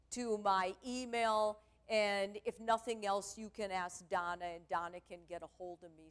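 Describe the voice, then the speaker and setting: A middle-aged woman with a booming voice, a therapist giving a chatty, candid talk to a church conference. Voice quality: loud, booming voice. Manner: chatty, candid, self-deprecating. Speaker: guest speaker, sex therapist. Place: church stage.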